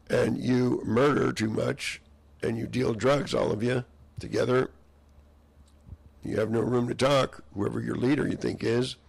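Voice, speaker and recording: A hoarse man in a rambling monologue, drifting between half-finished sentences and mock-courtroom phrasing. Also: mid-range voice, dry recording.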